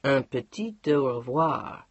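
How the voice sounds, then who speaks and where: A woman voicing a controlling husband who speaks quietly and firmly. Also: animated, takes it slowly, husky, no room sound, lo-fi sound. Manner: low, firm, unbending.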